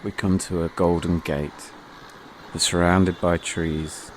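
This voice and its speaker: A man's voice: low voice, little pitch movement, slow, a little hiss or hum. A British man telling a scripted first-person story as an audio drama narrator, wry and confessional, with music behind him.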